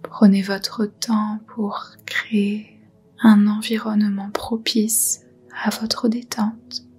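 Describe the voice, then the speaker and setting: A woman softly guiding a meditation in a breathy, whispery voice, soothing and unhurried. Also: medium pitch. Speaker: yoga nidra guide. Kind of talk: guided meditation, soft whispered narration.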